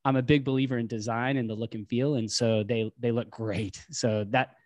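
A man hosts a casual podcast at a middle pitch. He talks in a friendly way and often trips over and restarts his phrases, recorded in a dry, close room. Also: fairly even intonation, normal speed, darkish tone, no room sound. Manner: friendly, filler-heavy, frequent restarts. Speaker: podcast host.

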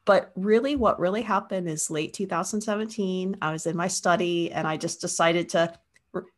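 A woman's voice: on the low side, steady tone, moderate pace. A middle-aged American woman from Northeast Ohio, a university teacher, chatting enthusiastically and rambling with fillers on a video call. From Northeast Ohio, USA. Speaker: guest, university media studies teacher. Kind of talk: casual chat on a call.

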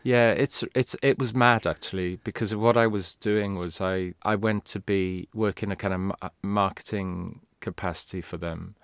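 Low-voiced man, rambling. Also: steady tone, moderate pace, gravelly, few pauses, smooth, dry recording, clean studio sound. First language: Welsh. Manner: rambling, restarts, candid. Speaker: guest. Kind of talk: casual interview talk.

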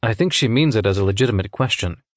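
A man voicing an unruffled senior male official in a narrated story, reasoning calmly with practiced patience. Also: fairly low pitch, fast, no echo.

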